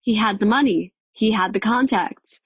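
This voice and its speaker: A woman's voice: mid-range voice, normal speed, breathy edge, dry recording. Woman narrating fiction in a dry recording. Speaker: audiobook narrator. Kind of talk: scripted dramatic fiction narration.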